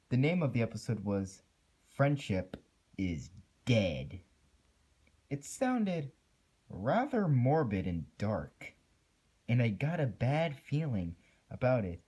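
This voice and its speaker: A man's voice: mid-range voice, warm tone, slightly rough. A man giving an amateur dramatic reading of a first-person horror story. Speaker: amateur creepypasta narrator.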